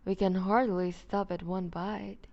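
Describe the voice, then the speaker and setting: Vampire duchess, female performer: predatory and teasing, very unhurried, dark mellow tone, whispered asides, intimate ASMR roleplay. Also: medium pitch, steady tone, highs cut off, lightly acted. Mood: teasing, seductive. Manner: slow, deliberate, purring condescension with whispered phrases. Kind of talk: ASMR roleplay audio drama.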